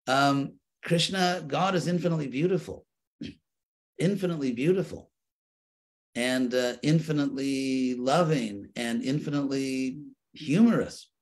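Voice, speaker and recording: A man teaching a study group, answering questions thoughtfully, often restarting and repeating words as he searches for phrasing, with a gently humorous touch. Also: medium pitch, unhurried. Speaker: spiritual teacher.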